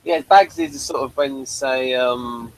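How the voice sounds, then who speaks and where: A man's voice: medium pitch, unhurried, low-quality recording. A man hosting a casual chat reviewing a TV episode, easygoing and a bit hesitant. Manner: easygoing, chatty, a little hesitant. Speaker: podcast host.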